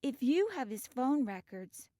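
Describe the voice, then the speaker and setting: A woman's voice: high voice, big pitch swings, measured pace, warm tone, dry recording, studio quality. An actress playing a guarded, defiant teenage girl in an audio drama, with a terse, defensive manner.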